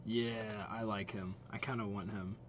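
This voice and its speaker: A man's voice: lowish voice, fairly even intonation, unhurried, dull audio, very noisy. A man giving casual reaction commentary as host of a video, watching game trailers. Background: game trailer music.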